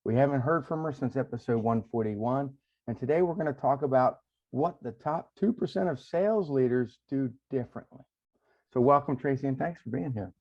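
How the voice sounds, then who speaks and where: A man's voice: mid-range voice, a little fast. A man hosting an interview, chatting casually and telling stories with frequent restarts. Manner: relaxed storyteller, many restarts. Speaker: podcast host. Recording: dull lo-fi sound.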